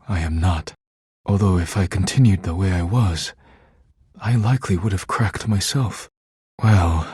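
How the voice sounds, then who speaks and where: A man playing a formal, earnest, reserved video game character with measured diction and a husky voice. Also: on the low side, warm tone, no room sound, clean studio sound. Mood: earnest, grateful, then tender, sincere. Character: formal, earnest, reserved man, once workaholic, now tender. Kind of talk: scripted game dialogue, formal romance scenes.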